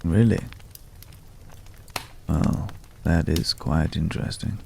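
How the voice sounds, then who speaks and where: A man playing a courteous, world-weary former vampire hunter in an intimate ASMR roleplay, speaking in a measured, hushed way with polite, hesitant pauses. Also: very deep voice, steady tone, gravelly, a little hiss or hum, exaggerated voice. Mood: smooth, cautious greeting.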